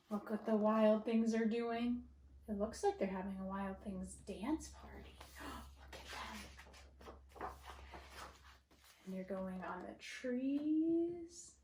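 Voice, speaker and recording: A woman teacher giving an expressive picture-book read-aloud for children, dramatic, with gasps, whispers and emphasis. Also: a little high, slow pace, pauses often, roomy sound, background noise. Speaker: elementary school teacher.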